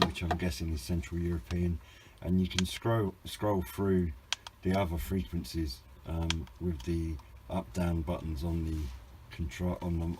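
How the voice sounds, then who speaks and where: A man's voice: low voice, background noise. A British man giving an informal, hesitant and understated hobby product review, rambling a little as he explains. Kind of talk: informal unboxing and review narration.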